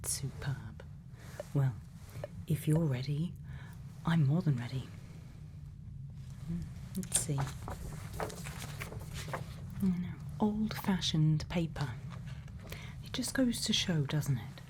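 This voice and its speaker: A woman playing a gentle, quietly kind scientist in a soft, often whispered ASMR roleplay, soothing and reassuring with many pauses. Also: sits low, background noise. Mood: gently curious. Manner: slow, soothing, pausing, reassuring. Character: gentle female scientist, quietly kind, programming a robot friend.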